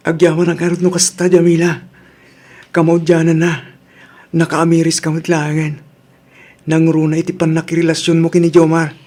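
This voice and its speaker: Actor as husky, even-toned young Filipino suitor. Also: male voice, a little high, moderate pace, long pauses, natural voice. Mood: hopeful. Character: gentle, devoted young male suitor, patient. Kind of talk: Ilocano radio drama acting.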